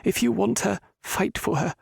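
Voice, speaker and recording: A man voicing a gentle, grieving middle-aged mother who speaks softly and tearfully. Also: high voice, normal speed, vocal fry, husky, dry recording, clean studio sound, voice pushed up, heavily acted. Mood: urging. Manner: soft, tearful and pleading.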